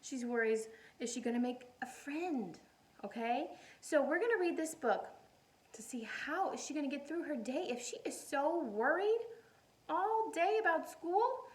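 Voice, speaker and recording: A woman's voice: sits high, animated, measured pace. A woman reading a picture book aloud expressively to young children, adding simple explanations as a teacher would.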